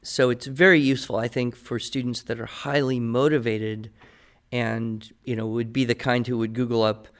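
A man's voice: medium pitch, flat delivery, moderate pace. Articulate American man giving advice. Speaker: podcast guest expert, writing teacher. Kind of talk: conversational advice, answering listener questions.

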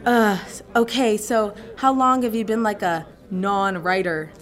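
A woman's voice: medium pitch, fairly even intonation, measured pace, a faint crowd, close to an everyday voice. A woman voicing a gushing, dreamy teenage girl in a radio drama, full of "like" and "you know.".

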